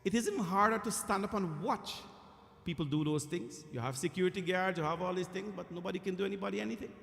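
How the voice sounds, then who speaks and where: A man from Guyana, a temple priest, giving an earnest, emphatic devotional sermon with frequent pauses. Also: a little high. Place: temple hall. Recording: echoey temple hall.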